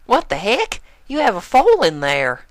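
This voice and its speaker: A woman's voice: mid-range voice, huge pitch swings, a little slow, no echo, pitched above the performer's own voice. A woman voicing a friendly, forthright young farm mare in a cartoon story, with a Southern US accent. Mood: wary, startled. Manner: folksy, forthright.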